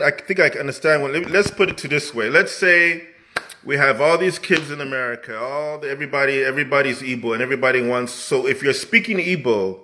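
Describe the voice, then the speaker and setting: A man, a musician guest in casual conversation, who rambles with many restarts and animated emphasis. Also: on the high side.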